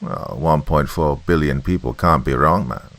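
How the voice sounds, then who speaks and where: A man's voice: a bass voice, normal speed, fairly bright tone, no room sound. A man voicing a short, stocky, confident Indian machine gunner, easygoing and boastful, in a military story.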